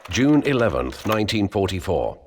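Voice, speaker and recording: A man narrating military history in a measured, grave, formal documentary style for a game. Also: deep voice, very animated, slight background noise. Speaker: narrator of war history briefings.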